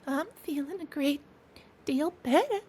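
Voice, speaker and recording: A woman doing a boastful high-pitched toad. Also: lively intonation, measured pace, a little mellow. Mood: feeble, sly. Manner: feeble then boastful and gleeful. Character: boastful reckless male toad disguised as washerwoman.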